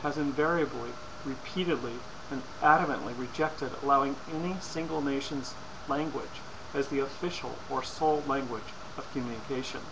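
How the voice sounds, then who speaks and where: A man reading a scripted persuasive lecture in a formal, deliberate way, occasionally stumbling over words. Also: medium pitch. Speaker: presenter. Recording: clearly noisy amateur recording.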